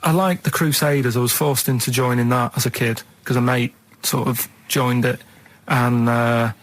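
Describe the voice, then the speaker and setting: A man's voice: mid-range voice, fairly even intonation, normal speed. A man co-hosting a radio show, telling rambling anecdotes in a blunt, colloquial, casual way.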